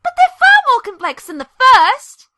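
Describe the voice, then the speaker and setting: Woman as an excitable, very high-pitched young mare. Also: moderate pace, no room sound, clean studio sound, exaggerated voice. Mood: sulky, frustrated. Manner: theatrical, indignant. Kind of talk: audio drama acting.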